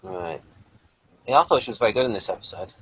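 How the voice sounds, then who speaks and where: A man's voice: fairly low pitch, animated, fast, breathy edge, low-quality recording. A man hosting a casual podcast review, thinking aloud in a hesitant way. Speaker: podcast host. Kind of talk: casual chat reviewing a TV episode.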